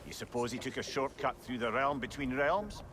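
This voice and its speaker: A man's voice: mid-range voice, fairly quick, fairly bright tone, lightly acted. An actor playing a talkative, wise severed head who advises in a wry, fatherly way in a video game.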